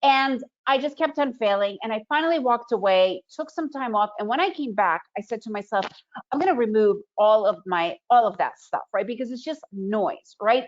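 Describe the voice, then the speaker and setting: A middle-aged woman whose first language is Russian leads an online trading presentation in an energetic, motivational way. Her voice sits a little high. The webinar audio comes through slightly dull and lo-fi. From Soviet Union. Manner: energetic, motivational, conversational with frequent "right?".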